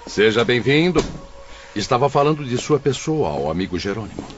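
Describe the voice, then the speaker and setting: A man's voice: medium pitch, sing-song, a little fast, lightly acted. A Brazilian man playing a solemn, benevolent spirit mentor in an audio drama, speaking in a formal, paternal way. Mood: grave. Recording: dull, muffled lo-fi audio.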